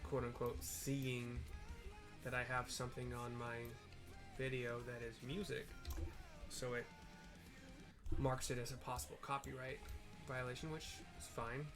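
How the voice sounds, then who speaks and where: A man casually narrating gameplay and describing the scenes for blind viewers, in loose, halting bits. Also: mid-range voice, level tone. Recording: background music playing under the voice. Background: music from a playlist.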